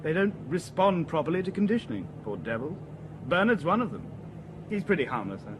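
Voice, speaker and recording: Complacent young man for radio drama: high, animated, noise behind. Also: fairly quick. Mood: assured. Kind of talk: BBC radio drama acting.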